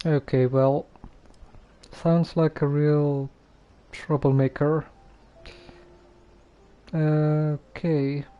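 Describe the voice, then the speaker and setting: A man casually live streaming a game, reading dialogue aloud and commenting in a halting, musing way. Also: on the high side, flat delivery, mellow, smooth, dull audio. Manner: halting, musing, repeats phrases.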